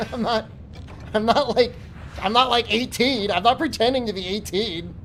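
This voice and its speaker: A man's voice: extremely high pitch, measured pace, a bit bright, slight background noise. A man in his forties chatting to his live-stream viewers while gaming, joking around and breaking into profane, mock-angry rants. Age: 40s. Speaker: game streamer.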